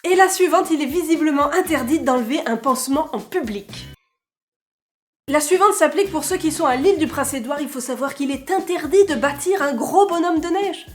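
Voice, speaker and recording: A woman's voice: high voice, lively intonation, moderate pace, faint music behind. A young woman hosting a casual vlog, playful and chatty, with joking asides. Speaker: vlogger host.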